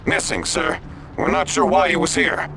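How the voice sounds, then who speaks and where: An actor playing a sober, obedient male security officer in a video game, factual and gravelly. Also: fairly high pitch, normal speed, slight background noise, exaggerated voice. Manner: flat, factual. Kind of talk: video game cutscene acting.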